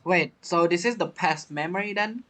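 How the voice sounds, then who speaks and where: A man streaming a game with casual commentary, thinking aloud in halting, non-native phrasing. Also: high-pitched, pushed and bright. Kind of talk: casual let's play commentary.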